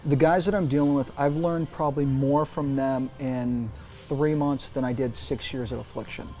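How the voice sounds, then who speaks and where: A man in his forties giving casual interview answers, emphatic and animated, often restarting his sentences. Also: mid-range voice, moderate pace. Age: 40s. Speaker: interview guest, brand executive.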